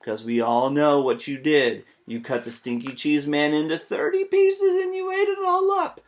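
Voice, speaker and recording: A man reading a children's story aloud as a teacher, expressive and full of playful joking asides. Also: fairly high pitch, moderate pace.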